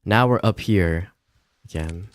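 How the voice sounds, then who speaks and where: A man's voice: low-pitched, takes it slowly. A man commentating casually to himself as he streams a visual novel and reads game text aloud.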